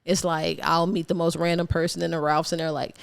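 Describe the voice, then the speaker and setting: A young woman from Ohio, a guest artist talking through her journey in a relaxed, reflective interview, laughing easily. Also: low voice, fairly quick, creaky, clear, resonant tone. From Ohio, USA. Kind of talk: casual interview chat.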